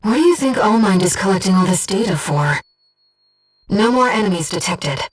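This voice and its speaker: A gentle, bodiless female companion from a video game, with a young voice at medium pitch. She speaks softly and exactly, like an earnest mission operator. The sound sits slightly away from the microphone. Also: animated, normal speed, slightly rough, distant mic, faint music behind. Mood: composed, focused. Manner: composed, soft-spoken, earnest, precise. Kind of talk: video game voice acting, tactical radio guidance.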